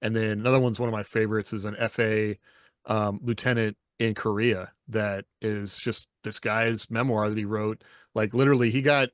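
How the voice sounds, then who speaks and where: A man's voice: lowish voice, no echo, studio quality. A man hosting a podcast in a casual, conversational way. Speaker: podcast host.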